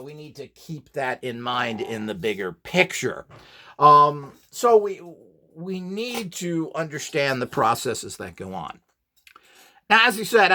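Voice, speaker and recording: A man's voice: mid-range voice, fairly bright tone. A man giving an informal explanatory tutorial, rambling and opinionated as he talks. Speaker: instructor and device builder.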